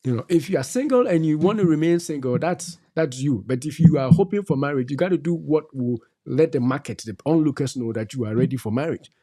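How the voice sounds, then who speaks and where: A middle-aged man cohosting a talk show, his voice mellow and dark in tone. He preaches at his listeners, hammering home each point by saying it again. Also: on the high side, expressive, fairly quick, hardly any pauses. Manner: preachy, exhorting, repeats key phrases. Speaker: co-host. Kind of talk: faith-based advice talk.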